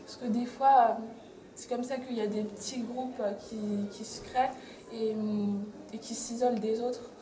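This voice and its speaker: A high school girl in her late teens answering interview questions, halting with many pauses and fillers. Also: fairly even intonation. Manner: halting, many ums, giggles at slips. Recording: echoey room with crowd chatter.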